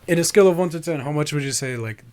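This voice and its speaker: A young man whose first language is Portuguese, chatting casually as a podcast host on a boom-arm studio mic in a small home room, slangy and laid-back. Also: very fast, darkish tone. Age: young adult. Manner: laid-back, slangy, says "you know what I mean" often. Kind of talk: casual chat.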